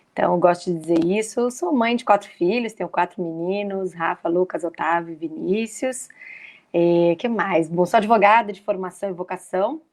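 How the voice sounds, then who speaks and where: A middle-aged Brazilian woman from São Paulo talking casually about herself as an interview guest, a little haltingly. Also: lowish voice, darkish tone. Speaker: interview guest, lawyer turned executive. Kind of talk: casual personal talk.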